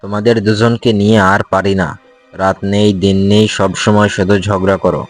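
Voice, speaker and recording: A man's voice: lowish voice, flat delivery, fairly quick, faint music behind, lightly acted. A man voicing a harried fox husband in a cartoon, pleading and placating. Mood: exasperated. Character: harried male fox husband caught between two wives. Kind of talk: Bengali cartoon voice acting.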